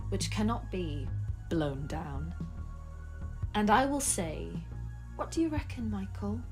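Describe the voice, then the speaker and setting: An actress playing a vengeful, coolly menacing young woman in an intimate audio drama, speaking in a deliberate, pointed way. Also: lowish voice. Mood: taunting.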